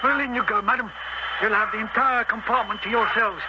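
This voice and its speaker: An actor playing a chatty, deferential British train guard in a 1970s radio drama, with a folksy manner. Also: male voice, sits high, fairly even intonation, a little fast, natural voice. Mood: obliging. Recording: muffled old radio broadcast, loud station noise.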